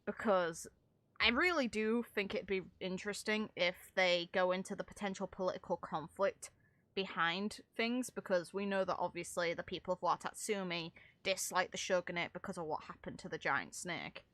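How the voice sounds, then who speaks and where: Bright-voiced woman giving casual commentary. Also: lowish voice, normal speed, dry recording. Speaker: content creator commentating on a game.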